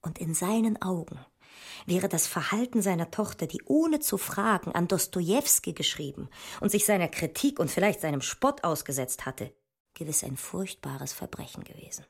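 An actress playing a sceptical, searching woman mathematician in a literary radio drama, with a husky, breathy voice that often nears a whisper. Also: lowish voice, big pitch swings, moderate pace, exaggerated voice. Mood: grave, confiding.

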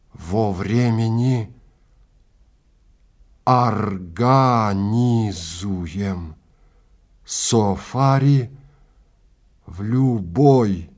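A man acts out a grand advertising announcement in an audio play. Each word is set apart, drawn out very slowly and declaimed with heavy emphasis. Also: fairly low pitch, darkish tone, full character voice. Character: company advertisement read out with slow, loud emphasis.

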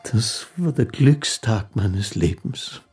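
A husky, halting, meek dreamer. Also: male voice, on the low side, lively intonation, darkish tone, soft background music, full character voice. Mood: wistful, tender. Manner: hesitant, halting, earnest. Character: meek, wistful, naive man recalling his love. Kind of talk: German radio drama, first-person literary storytelling.

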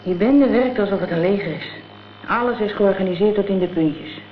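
A woman's voice: medium pitch, brisk, lightly acted. An actress playing a gangster's tough, vengeful girlfriend in a radio drama, talking in blunt, scornful slang. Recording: dull, noisy old radio play recording.

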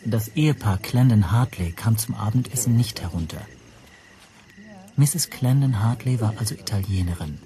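An actor playing a reserved hotel guest who narrates a radio drama in the first person, measured and understated. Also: male voice, on the low side, expressive, dark tone. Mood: composed, observant.

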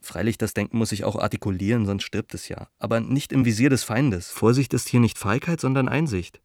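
A man's voice: on the low side, fairly quick, a little mellow, no room sound, studio quality, close to an everyday voice. An actor in a radio play as an earnest, cautious dissident intellectual, reading his letter in a reflective, articulate, argumentative way. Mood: earnest, reasoning.

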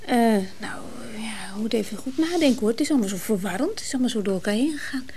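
A woman's voice: fairly high pitch, expressive, fast, darkish tone, a little hiss or hum, lightly acted. An actress playing an affectionate, evasive married woman in a radio drama. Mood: hesitant, confused. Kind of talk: intimate radio drama acting.

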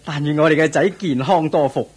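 A man playing a proud, hearty king in a radio drama, exclamatory and expressive. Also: a little high, brisk, husky. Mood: delighted. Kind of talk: Cantonese radio drama acting.

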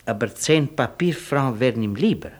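An actor playing a crafty, cheeky servant of a Polish colonel in a German radio play, mock-deferential and wheedling. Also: male voice, mid-range voice, expressive, normal speed, close to an everyday voice.

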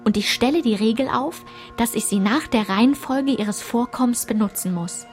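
A woman's voice: a little high, moderate pace, darkish tone, close to an everyday voice. A woman playing a solitary, observant narrator who recalls her schoolgirl self in precise, composed literary radio-play narration. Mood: matter-of-fact. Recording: clear music behind the voice.

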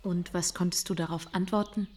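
An actress playing a gentle, serious young woman in a radio drama, hushed, breathy and earnest. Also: on the low side, fairly even intonation, fairly quick, close to an everyday voice. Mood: anxious. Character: gentle, serious young female cousin, quietly shocked.

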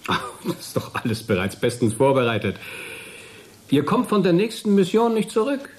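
An actor playing a jovial, smug military colonel in a radio play, patronising and soothing in officialese. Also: male voice, fairly high pitch, sing-song, moderate pace. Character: jovial, smug military colonel addressing his retired astronauts. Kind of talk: German radio play acting.

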